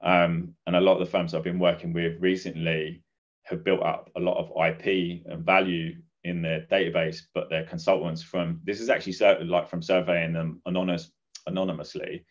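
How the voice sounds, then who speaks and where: A middle-aged man answering as a podcast guest, explaining things in a measured, conversational way. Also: low-pitched, level tone. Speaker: guest, recruitment business advisor. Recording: dull, muffled lo-fi audio.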